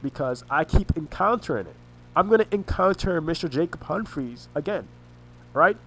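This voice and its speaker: A man recounting family arguments in a rambling monologue, halting and often restarting his words, his voice congested and his throat sore. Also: on the high side, expressive, a bit bright, slight background noise, rough recording. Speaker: vlogger recounting family conflicts. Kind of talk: rambling personal monologue over a screen recording.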